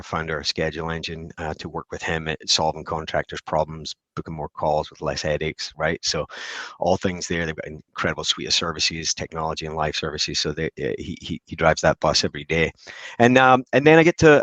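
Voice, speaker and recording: A Scottish man in his forties talks as a podcast guest with a deep, low voice. He rambles cheerfully, restarting his sentences often. The sound is dry and close. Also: fairly bright tone, no echo. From Scotland. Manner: upbeat, rambling with many restarts and fillers. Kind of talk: casual interview chat.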